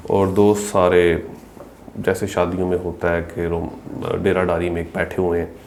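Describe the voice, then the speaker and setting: Male podcast host, Pakistani accent, low voice, conversational questioning, faint ambient noise behind. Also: a little mellow. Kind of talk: conversational interviewing.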